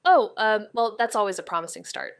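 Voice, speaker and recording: A woman playing a wry, wary young amateur detective in a noir podcast drama, with a deadpan, sardonic way of talking. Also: mid-range voice, fairly quick, clear, resonant tone, no room sound, studio quality, natural voice. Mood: guarded. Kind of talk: first-person noir podcast drama narration and dialogue.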